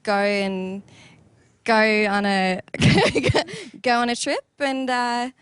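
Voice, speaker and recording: A woman doing a halting, giggly Australian accent. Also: fairly high pitch, very animated, clear, resonant tone, no room sound, full character voice. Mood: playful. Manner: self-conscious, giggly, halting.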